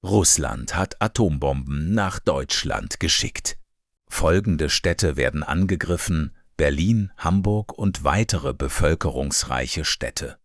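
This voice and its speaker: A man's voice: extremely low pitch, animated, slow pace, no echo. A man's synthetic-sounding voice reading a scripted emergency alert as an announcer.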